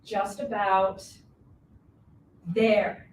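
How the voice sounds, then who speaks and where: A woman's voice: medium pitch, flat delivery, extremely slow, a little hiss or hum. A woman in a dramatic play reading as a sullen, defensive, possessive young man, curt and guarded, in a roomy recording at a distance from the mic.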